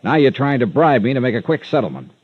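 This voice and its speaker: An actor playing a tough, skeptical insurance investigator in an old radio drama, terse, dry and blunt. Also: male voice, mid-range voice, big pitch swings, quick pace, breathy edge, dry recording. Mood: angry, blunt. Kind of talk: 1940s-50s radio detective acting and narration. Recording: dull vintage radio recording.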